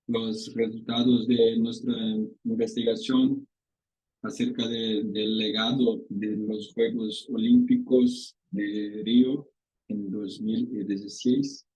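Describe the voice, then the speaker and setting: A Brazilian researcher, a man whose first language is Portuguese, gives a conference presentation in halting second-language Spanish with a Brazilian Portuguese accent over a video call. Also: medium pitch, mellow, poor audio.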